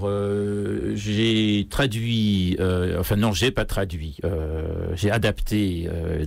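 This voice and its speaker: A man from Iran speaking hesitantly, with many pauses, as an unscripted guest in a radio interview. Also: deep voice, vocal fry, darkish tone, dry recording. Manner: hesitant, halting delivery full of pauses. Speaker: guest singer. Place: radio studio. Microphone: close studio microphone.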